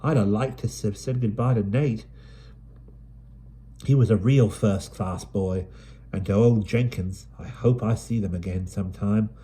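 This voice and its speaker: A man's voice: lowish voice, a little slow, dark tone, slightly rough. A man voicing a plucky, chatty London street girl with broad cockney-style dialect in an audiobook. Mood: cheery. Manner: bold, chatty, upbeat. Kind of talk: audiobook character voice.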